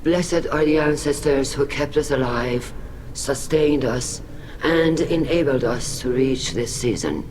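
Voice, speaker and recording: A man's voice: medium pitch, a little mellow, close to an everyday voice, low-quality recording. Man acting as solemn alien admiral over game noise. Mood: formal, solemn. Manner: formal, measured, ceremonial.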